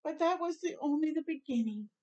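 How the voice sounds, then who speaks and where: A woman voicing an earnest, unsure male stuffed owl in a children's storybook, speaking slowly and simply with a wondering manner. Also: high voice, lively intonation, dark tone, highs cut off, voice pushed up. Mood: pleased, grateful. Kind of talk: children's storybook read-aloud.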